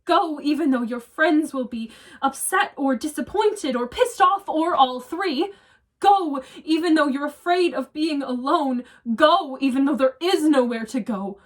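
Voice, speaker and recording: A woman performing a dramatic monologue as a regretful, confessional divorced woman, earnest and deliberate. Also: sits high, expressive. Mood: insistent. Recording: voice distant from the mic.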